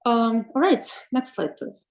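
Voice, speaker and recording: A woman's voice: a little high, animated, measured pace, darkish tone. Woman, webinar presenter, hesitant and friendly delivery, roomy sound. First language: Russian. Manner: thoughtful, many ums and uhs, asks for next slide. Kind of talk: presenting slides in an online webinar.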